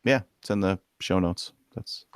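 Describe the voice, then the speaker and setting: A man with a low voice hosts a podcast casually. His manner is offhand and dryly humorous, and the recording is tight and free of echo. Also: unhurried, smooth, clean studio sound. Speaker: podcast host. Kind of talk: casual banter and read-out segments.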